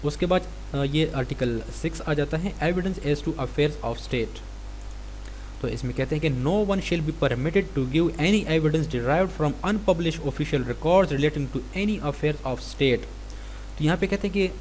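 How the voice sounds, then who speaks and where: A man's voice: on the high side, a little mellow, poor audio. A man with a Pakistani accent giving an explanatory lecture, going step by step and repeating key points as he reads out and explains formal text. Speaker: law lecturer. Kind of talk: explanatory lecture, reading statutes and explaining them. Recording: clear background noise with hum.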